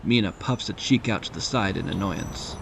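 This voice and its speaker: A man reads scripted story narration for an audio drama. His voice sits fairly low with plenty of creak, over a faint bed of background noise. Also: normal speed.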